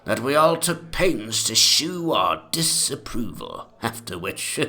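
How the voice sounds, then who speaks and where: Man acting a pompous ancient gentleman, theatrically swooping. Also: medium pitch, big pitch swings, heavily acted. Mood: pompous, gossipy. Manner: mannered, antiquated, pompous diction with drawn-out words. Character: pompous, ancient 18th-century English gentleman memoirist, fond and gossipy. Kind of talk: dramatic reading of a mock memoir.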